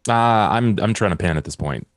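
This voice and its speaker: Low-voiced man in a tabletop game. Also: expressive, normal speed, no echo, clean studio sound. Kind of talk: casual game talk.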